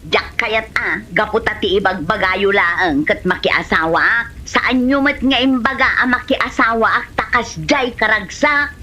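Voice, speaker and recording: A woman with an Ilocano Filipino accent playing an excitable, indignant married village woman in an amateur comedy drama, exclaiming and scolding. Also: on the high side, a little slow. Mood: aggrieved. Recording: dull lo-fi audio, music behind.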